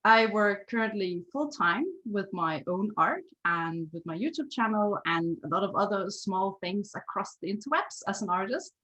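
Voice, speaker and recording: A woman's voice: mid-range voice, animated, normal speed, few pauses, pushed and bright, poor audio. A woman with a Danish accent giving a chatty, upbeat webinar presentation over a video call, laughing often. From Denmark. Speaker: guest artist presenter.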